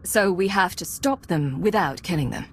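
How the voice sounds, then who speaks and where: An actress as a stern secret agent commander in a sci-fi game. Her low voice is curt and commanding, set against clearly noisy game ambience. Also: lively intonation, normal speed, lightly acted. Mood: resolute. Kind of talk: video game dialogue acting.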